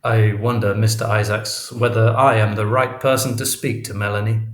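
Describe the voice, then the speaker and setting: A man voicing an educated university professor in an audiobook, formal, measured and guarded. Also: fairly low pitch, level tone, roomy sound, a little hiss or hum, close to an everyday voice. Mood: guarded, polite. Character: evasive, educated male university professor, outwardly polite. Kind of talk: audiobook character dialogue.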